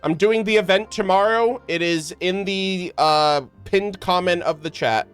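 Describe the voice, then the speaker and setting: A man's voice: sits high, measured pace, fairly bright tone, clear, resonant tone. Male game streamer, informal and slangy, talking to chat over game music. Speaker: live streamer, gamer. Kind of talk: casual live game-stream commentary to chat.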